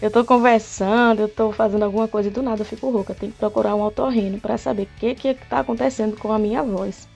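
A woman vlogger, chatting to viewers while touring a park at night, her voice a bit hoarse, recorded on a phone. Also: medium pitch, steady tone, quick pace, hardly any pauses, a little mellow, soft background music. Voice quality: hoarse at times. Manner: chatty, addresses viewers directly. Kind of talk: casual vlog tour narration. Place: outdoor city park at night. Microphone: phone camera at arm's length.

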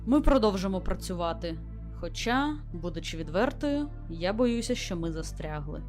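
A woman playing a composed space station commander in a visual novel game, logging mission reports in a formal, measured way. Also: on the low side, expressive, a little mellow, close to an everyday voice. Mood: resolute, concerned. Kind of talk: scripted visual novel voice acting, log narration. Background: music.

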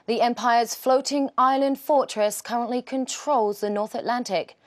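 An actress as a high-voiced news anchor. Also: female voice, fairly even intonation, normal speed, natural voice. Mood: grave. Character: serious female television news anchor. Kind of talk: formal news reading.

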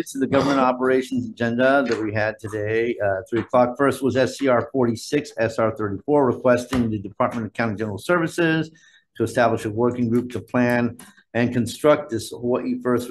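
A man's voice: medium pitch, rough recording. A man chairing a legislative committee hearing, running through measures in a conversational way with fillers, speaking into a desk microphone in a hearing room. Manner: conversational, thinks aloud with fillers. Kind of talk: formal hearing proceedings.

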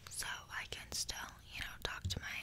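A young woman voicing a smug, materialistic hype beast in an ASMR roleplay, whispering softly in a casual, bragging drawl close to the microphone. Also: normal speed, lightly acted. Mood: casual, instructive. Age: young adult. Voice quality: soft breathy whisper. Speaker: ASMR creator. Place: small bedroom, indoors. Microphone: close desk microphone.

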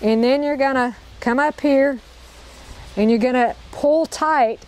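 A woman's voice: high-pitched, unhurried, darkish tone. A woman teaching a group to tie knots outdoors in a park, upbeat, repeating each step and checking in with the group. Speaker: knot-tying instructor. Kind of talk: hands-on outdoor demonstration.

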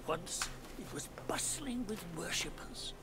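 A man's voice: high-pitched, sing-song, a little slow, very noisy, full character voice. An actor playing an old, bearded male temple keeper spirit in a game cutscene, wry and cryptic, with a theatrical, sing-song delivery. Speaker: game character. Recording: game audio with loud music.

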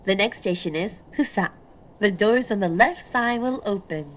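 A woman reading recorded train announcements in set phrases, with a formal, measured delivery. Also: medium pitch, dull audio. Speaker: automated English-language train announcer.

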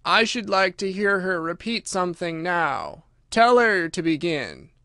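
A man voicing a mournful, drowsy, self-important turtle creature in a storybook, with slow, drawn-out, solemn speech. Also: high voice, sing-song, bright, forceful tone, dry recording, clean studio sound, voice pushed up, exaggerated voice. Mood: solemn, offended. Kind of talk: storybook character voice.

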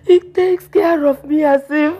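A woman's voice: fairly high pitch, animated, slow pace, husky, lo-fi sound, heavily acted. An actress playing a grieving older mother-in-law, sobbing and crying out in mourning. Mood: wailing grief. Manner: wailing, sobbing laments.

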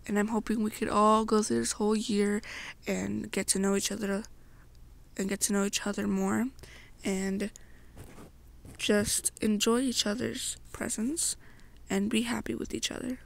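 A young woman narrating an ASMR story to the listener in a halting way, pausing between short phrases. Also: medium pitch, little pitch movement, gravelly. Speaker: amateur roleplay narrator.

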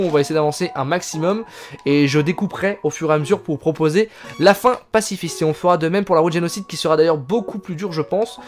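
A young man in his twenties chatting casually while playing a game on stream, reading text aloud and answering chat. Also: fairly high pitch. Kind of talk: casual live gameplay commentary. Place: indoors, gaming chair at home.

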